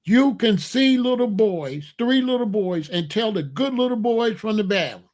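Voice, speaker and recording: Emphatic older man, high voice. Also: animated, moderate pace, a little mellow. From Chicago, USA. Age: 60s. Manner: emphatic, repetitive, blunt street talk. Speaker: commentator. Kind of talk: opinionated monologue.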